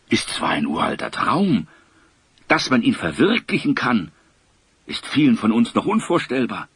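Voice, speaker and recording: An actor playing an earnest rebel fighter in an audio drama, speaking deliberately and with cautious hope. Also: male voice, medium pitch, bright, forceful tone, breathy edge, dry recording, full character voice. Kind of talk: German audio drama acting.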